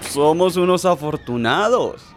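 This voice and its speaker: A man's voice: sits high, animated, slow, soft background music. An actor playing an uneasy policeman on guard duty in a radio drama.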